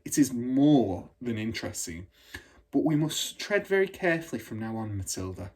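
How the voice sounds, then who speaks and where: Man voicing a patient, cautious woman schoolteacher, husky. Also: medium pitch, big pitch swings, a little mellow. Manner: careful, measured, explanatory. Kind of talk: children's storybook read aloud.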